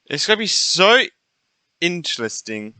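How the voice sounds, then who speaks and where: Bright, animated man doing game commentary. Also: on the high side, unhurried, clean tone. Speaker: gamer playing through a story quest. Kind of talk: casual playthrough commentary, reacting and reading along.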